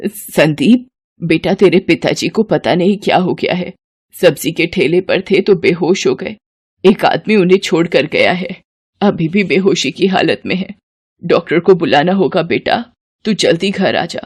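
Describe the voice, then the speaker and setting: A woman's voice: on the low side, lively intonation, unhurried, a little mellow, breathy edge. A woman voicing a caring, worried mother in a storybook tale. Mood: anxious. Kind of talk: storybook dialogue.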